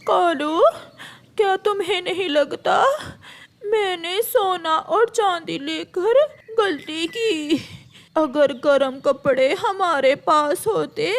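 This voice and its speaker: A woman's voice: very high voice, slow, exaggerated voice. A woman voicing a greedy female crow, whiny and boastful in a cartoon. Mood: tearful. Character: greedy vain female crow who regrets it.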